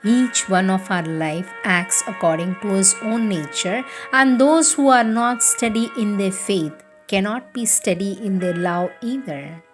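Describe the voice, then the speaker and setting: Slow, measured woman reading devotional text. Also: medium pitch, soft background music. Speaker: reader. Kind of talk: devotional reading of spiritual letters.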